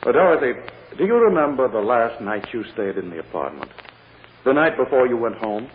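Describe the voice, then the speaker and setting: A man's voice: medium pitch, sing-song, brisk, a little hiss or hum, lightly acted. Man playing theatrical prosecutor, tinny old radio. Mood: probing. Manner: theatrical, pointed, coaxing. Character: sly, insistent male prosecutor questioning a child. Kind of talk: 1940s radio drama acting.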